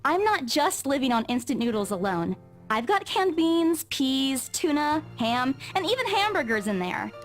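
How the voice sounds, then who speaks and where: A woman's voice: high-pitched, sing-song, normal speed. A woman playing a proud, stubborn but caring young working woman in a visual novel game, earnest and defensive. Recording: music behind the voice.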